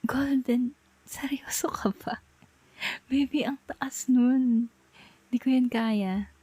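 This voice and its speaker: A young Filipino woman playing a tender, playful girlfriend in an intimate ASMR roleplay, murmuring softly and coaxingly close to the mic. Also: high-pitched, slow, slightly rough, no room sound, full character voice. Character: tender, playful girlfriend soothing partner to sleep. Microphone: close microphone.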